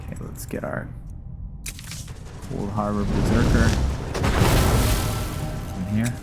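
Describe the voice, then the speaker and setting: A man's voice: fairly low pitch, flat delivery, slow pace, loud music behind the voice. A man giving casual gameplay commentary, muttering to himself with mild folksy exclamations. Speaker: video game streamer.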